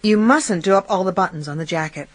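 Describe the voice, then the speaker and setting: A woman's voice: fairly low pitch, fast talker, no echo, lightly acted. An actress playing an affectionate, flirtatious married woman in her late thirties in a period radio drama. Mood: playful, teasing. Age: 30s.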